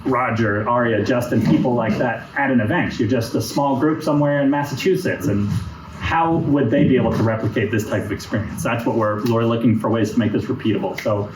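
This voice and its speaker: A man organizing a workshop, talking to the group in a relaxed way with lots of ums and uhs. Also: medium pitch, fairly quick, background noise. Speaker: event organizer. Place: indoor workshop room. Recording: echoey room with crowd chatter.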